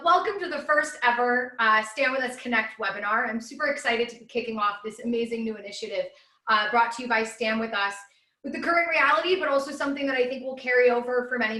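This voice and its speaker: Woman, 30s, educator giving a webinar; bright, chatty delivery recorded in a reverberant room. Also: high-pitched. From Los Angeles, USA. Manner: chatty, upbeat storytelling with many fillers. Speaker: guest speaker, educator. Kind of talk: live webinar presentation. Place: at home.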